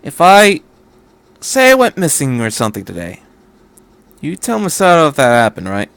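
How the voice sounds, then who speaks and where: A man voicing an anxious, polite teenage boy who speaks haltingly and tentatively, in a story reading. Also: fairly high pitch, huge pitch swings, vocal fry, a bit bright, no room sound, full character voice.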